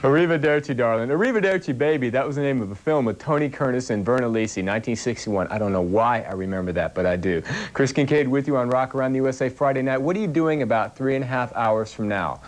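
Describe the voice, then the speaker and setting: A man's voice: medium pitch, animated, fast. A young man hosting a live music-video channel in the 1980s, chatting casually and upbeat between videos.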